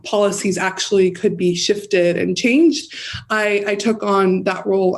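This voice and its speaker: A woman with an American accent giving earnest, reflective answers as an interview guest. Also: mid-range voice. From United States. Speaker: guest, campaign director and community organizer.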